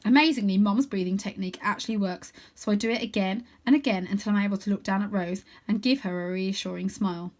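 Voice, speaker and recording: A woman reading a children's book aloud as an expressive narrator. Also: medium pitch, quick pace, few pauses. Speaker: storybook reader.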